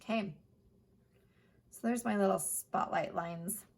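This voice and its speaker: A woman art teacher giving a chatty step-by-step painting lesson, her voice hoarse. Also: medium pitch, slow pace. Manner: chatty, plain instructional talk.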